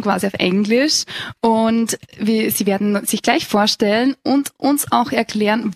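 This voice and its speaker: A woman's voice: on the high side, dry recording. A woman presenting a radio show, interviewing young guests in a bookshop café with a conversational, questioning manner and frequent fillers.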